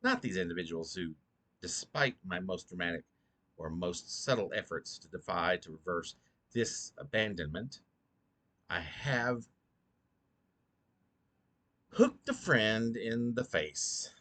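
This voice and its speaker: A man with a low voice reads poetry aloud as its author. He sounds sleepy and goes deliberately, often halting, stumbling over a word or repeating a phrase. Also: expressive, a bit bright. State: drowsy, short on sleep. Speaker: poet reading own work. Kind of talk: slow, halting poetry reading.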